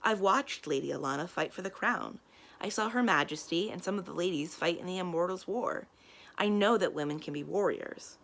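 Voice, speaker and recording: A woman's voice: lowish voice, moderate pace, creaky, fairly bright tone. A woman voicing a talkative, friendly teenage noble boy in a storybook, with a dry, speechifying manner. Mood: earnest.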